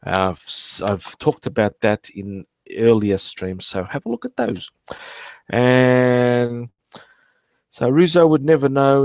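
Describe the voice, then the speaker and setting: A man's voice: lowish voice, darkish tone, dry recording. A man hosting a casual live stream about archaeology, rambling with many fillers and restarts, swearing and joking with his chat. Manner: swears freely and jokes with the chat.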